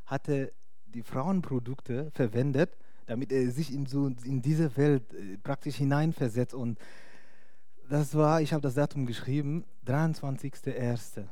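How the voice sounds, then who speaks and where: A man's voice: medium pitch, measured pace, dark tone, no echo. Chatty non-native German-speaking male preacher. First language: not German. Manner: chatty and hesitant, many fillers, laughs often. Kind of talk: informal sermon.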